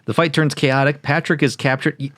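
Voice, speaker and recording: A man's voice: a little high, little pitch movement, brisk, a bit bright, dry recording. A man co-hosting a podcast, recapping stories in casual comedic banter with swearing and frequent laughter. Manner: profane, joking, laughs often. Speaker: podcast co-host.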